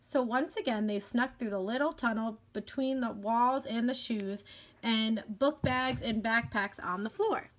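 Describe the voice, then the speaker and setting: A woman reading a children's book aloud with clear, expressive storytelling. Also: a little high, measured pace. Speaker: elementary school educator.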